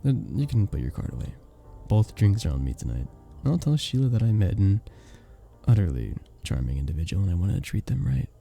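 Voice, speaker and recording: A man voicing a sweet, earnest vampire bartender in a romantic ASMR roleplay, soft-spoken and flirtatious, with hesitant little chuckles. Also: low-pitched, very animated, a little fast, vocal fry, husky, exaggerated voice. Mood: flirtatious, charming. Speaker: audio roleplay performer. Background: soft background music.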